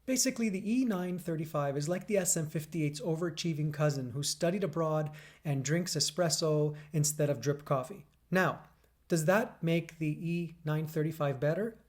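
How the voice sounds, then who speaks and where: A man talks through an audio gear review with a smooth, radio-DJ delivery. His voice sits a little higher than most men's, and he keeps things upbeat and punchy, slipping in wry quips. It is recorded close on a condenser mic indoors. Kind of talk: scripted product review narration. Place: indoors beside a PA speaker. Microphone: USB condenser mic.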